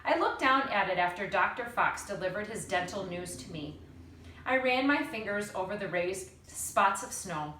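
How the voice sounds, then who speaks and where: A woman narrates a storybook with an animated, dramatic delivery and deliberate phrasing. Her voice sits a little away from the microphone in a roomy space, with faint noise behind it. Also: medium pitch, recorded from a distance. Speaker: teacher reading aloud.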